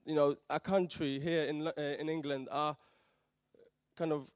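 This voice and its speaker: Brief: young male lay preacher, nervous, hesitant, slightly high voice, dry and muffled recording. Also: level tone, moderate pace, no room sound. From Poland. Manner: hesitant, many ums and restarts. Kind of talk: nervous church talk.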